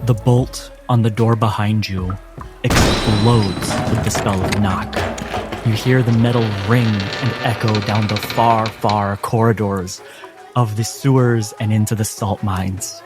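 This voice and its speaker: A man narrating a tabletop game as game master, with deliberate, dramatic phrasing. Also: fairly low pitch, fairly even intonation. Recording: music behind the voice. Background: clear music.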